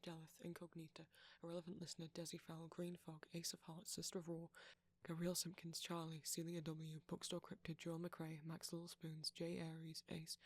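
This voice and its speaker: A man softly reading out a long list of supporter names as an audio roleplay creator, sometimes dropping into a whisper. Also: a little high, no echo. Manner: soft-spoken, slipping into whispers at times.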